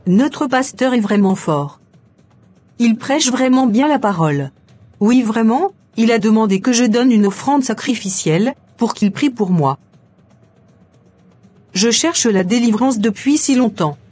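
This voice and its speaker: Woman playing a breathy devout churchgoer. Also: on the high side, expressive, a little slow, a little mellow. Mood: hopeful. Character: devout, hopeful female churchgoer. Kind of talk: cartoon voice acting in French. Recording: music behind the voice.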